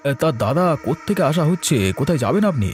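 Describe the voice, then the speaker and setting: A man's voice: fairly high pitch, sing-song, fast talker, slight background noise. A man playing a jittery, chatty food seller in a horror cartoon, stammering and wheedling. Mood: eager, hospitable.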